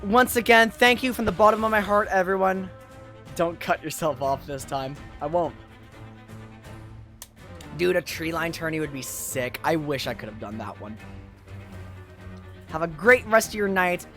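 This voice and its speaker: A tournament host on a livestream with a medium-pitched voice that is going from long hours of talking. The delivery is casual and appreciative, aimed straight at viewers. Faint music plays behind the voice. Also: moderate pace, long pauses, bright, forceful tone. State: losing voice after two days of talking. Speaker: tournament host and streamer caster. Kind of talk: casual esports livestream wrap-up, chatting with viewers.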